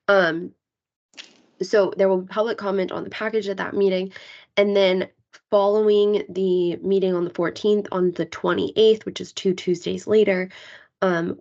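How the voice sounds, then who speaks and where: A woman with a hoarse voice, still getting over a cold, briefs a public meeting. She speaks in a somewhat low register and walks through dates and steps in a polite, orderly way. The audio is dull and lo-fi. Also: moderate pace, a little mellow. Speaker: county legislative liaison.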